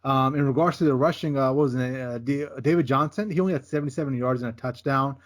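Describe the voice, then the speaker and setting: A man's voice: mid-range voice, fast. A man co-hosting a sports podcast, giving emphatic, casual takes on football games and repeating "listen, man" as he goes. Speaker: podcast co-host. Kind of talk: casual sports betting chat.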